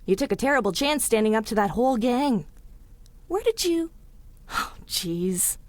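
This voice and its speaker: An actress playing a plucky, stubborn, warm-hearted young city woman in a 1930s pulp radio drama, with spirited, slangy old-time talk. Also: a little high, sing-song, a little slow, dry recording. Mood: curious, impressed.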